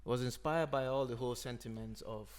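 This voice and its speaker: A man from Nigeria, an Igbo speaker, talking in a thoughtful, unhurried way as a podcast host. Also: mid-range voice. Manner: thoughtful, unhurried, frequent "you know". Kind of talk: reflective conversation.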